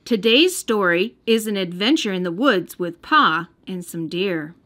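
An American woman reading a storybook aloud for young children in a gentle, careful storytelling manner. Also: mid-range voice, lively intonation, a little slow. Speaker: children's storybook reader and host. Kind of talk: scripted read-aloud narration. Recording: music under the voice.

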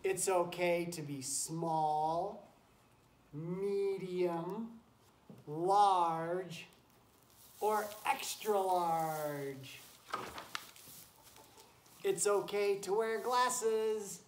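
A man's voice: sits high, extremely slow, pauses often. Man reading to children in an echoey barn. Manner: chatty, encouraging, often interrupting himself with asides to animals. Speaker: teacher reading a picture book aloud. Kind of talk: casual read-aloud to children, with asides. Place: wooden barn pen with straw, among goats and chickens. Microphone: camera a few feet away. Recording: roomy, noisy barn, distant camera mic.

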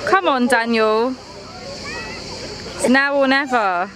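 A man's voice: very high-pitched, takes it slowly. A young man filming a friend from a boat deck and teasing him with running commentary. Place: outdoors on a boat deck at sea. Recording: loud outdoor noise behind.

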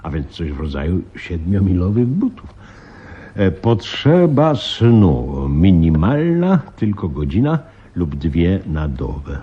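Husky, halting old police commissioner. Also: male voice, low voice, big pitch swings, exaggerated voice. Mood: grave, intent. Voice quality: husky, breathy. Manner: halting, muttering, repeats himself, dramatic emphasis. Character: veteran police commissioner, flustered, grave, alarmed older man. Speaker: actor. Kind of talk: Polish radio play acting. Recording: slightly dull radio play recording.

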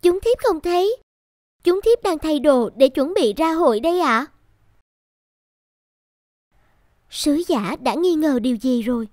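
An actress playing an alluring young maiden from a painting in an animated fairy tale, coy and coaxing. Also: female voice, high voice, normal speed, no room sound, clean studio sound. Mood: anxious.

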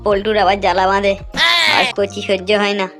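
Woman playing a fast, blunt cartoon gossip. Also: mid-range voice, flat delivery, fairly bright tone, lightly acted. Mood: blunt grumbling. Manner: blunt, crude, chatty. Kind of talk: amateur comic cartoon dub. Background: faint background music.

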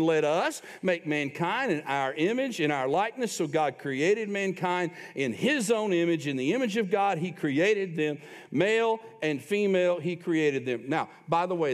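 A man preaching a sermon to a congregation as pastor, teaching scripture in an emphatic, conversational way and addressing listeners directly. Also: on the high side. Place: large church room, preaching live. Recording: long reverb of a large room.

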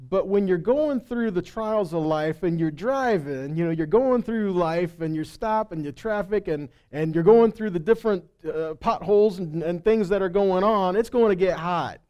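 A man's voice: high-pitched, normal speed, few pauses, dark tone, smooth. A man in his forties preaching in a folksy, conversational and emphatic way on a headset mic, moving between casual storytelling and scripture reading. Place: indoors on a stage at a congregation hall. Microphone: headset microphone.

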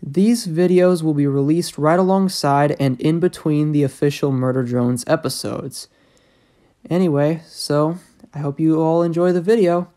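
A woman's voice: low-pitched, steady tone, moderate pace, dark tone. A woman video creator giving a casual, scripted introduction to viewers as host. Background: background music.

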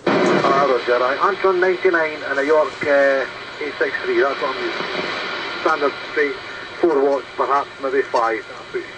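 A man's voice: mid-range voice, moderate pace. A Scottish man chatting casually over CB radio, repeating himself and often ending sentences with "eh". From Scotland. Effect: heard over CB radio. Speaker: amateur CB radio operator. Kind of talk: casual two-way radio chat. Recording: very noisy CB radio transmission. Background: loud radio static and hiss.

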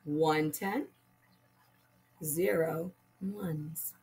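A woman's voice: deep voice, drawn-out words, a little mellow. A woman teaching young children, patiently explaining step by step and reading aloud like a primary school teacher. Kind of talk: children's lesson and picture book read-aloud.

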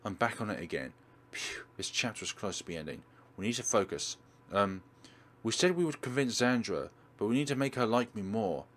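A man's voice: fairly low pitch, animated, a little fast, a bit bright. A man narrating a game playthrough, reading visual novel text aloud.

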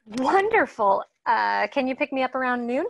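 A woman's voice: high-pitched, big pitch swings, normal speed, creaky, heavily acted. A woman playing a sweet, forward radio caller in a comedy table read. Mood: coy.

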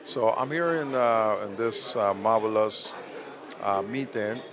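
A man in his fifties, a company CEO, speaking haltingly with many pauses in an event interview. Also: lowish voice. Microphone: handheld interviewer mic. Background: crowd chatter.

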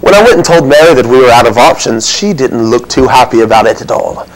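A flustered innkeeper of ancient Bethlehem, acted out by a man in a comic stage monologue. He has a mid-pitched voice and tells his story defensively, rambling through excuses with wounded indignation. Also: quick pace, close to an everyday voice, poor audio. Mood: sheepish, explaining.

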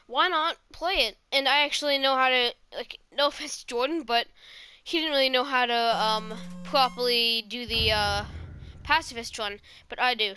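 A woman's voice: sits high, pushed and bright, smooth. A woman giving casual, rambling let's play commentary as a gaming host, swearing often.

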